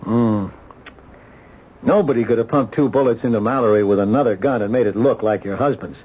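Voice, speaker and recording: An American actor in a radio mystery playing a measured, skeptical police lieutenant who talks in a hesitant, conversational way. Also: male voice, on the low side, a little mellow, breathy edge. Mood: reasoning. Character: measured, skeptical male police ballistics lieutenant, helpful underneath. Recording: dull, noisy old radio broadcast.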